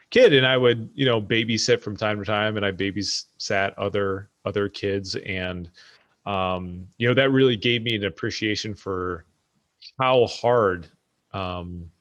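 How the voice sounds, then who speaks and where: A man's voice: fairly low pitch, normal speed, a bit bright. A man hosting a podcast, interviewing a guest in a casual, conversational style. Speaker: podcast host.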